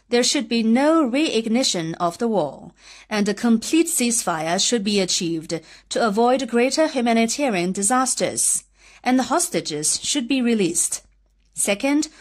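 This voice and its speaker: A woman hosting a news podcast, reading scripted reports in a measured, formal way. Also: mid-range voice.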